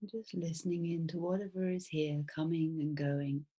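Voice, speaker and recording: Female meditation guide, low and breathy, soft and soothing, very unhurried delivery with long pauses. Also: fairly even intonation. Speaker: mindfulness teacher. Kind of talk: guided body scan meditation.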